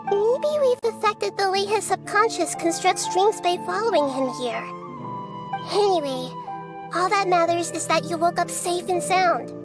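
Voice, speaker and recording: High soft little goddess, calm and thoughtful, music behind. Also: female voice. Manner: gentle, measured, thoughtful. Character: calm, wise young-sounding female goddess. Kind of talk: video game voice acting. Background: game music.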